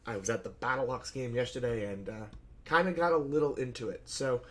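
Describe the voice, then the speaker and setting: Hoarse man breaking down fantasy picks. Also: medium pitch, brisk. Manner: conversational, filler-heavy, thinks aloud and self-corrects. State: hoarse, scratchy voice from shouting at a game. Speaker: fantasy basketball analyst host. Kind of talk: solo sports betting slate breakdown.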